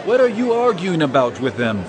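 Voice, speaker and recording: A man's voice: on the high side, wildly swooping pitch, normal speed, a crowd in the background, background noise. An actor playing a stern, authoritative male teacher, speaking solemnly and with commanding emphasis in a dramatized scripture reading.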